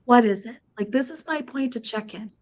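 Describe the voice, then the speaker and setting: A fairly high-voiced woman in her forties, husky from a cold, speaks candidly over a video call. She chats in a self-deprecating way and laughs easily. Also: brisk, a little mellow. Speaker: party guest.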